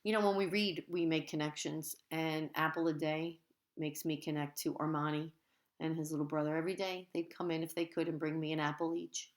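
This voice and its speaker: A woman's voice: sits low, level tone, fairly quick. A woman teacher reading a children's book aloud expressively, with asides that speak directly to the children.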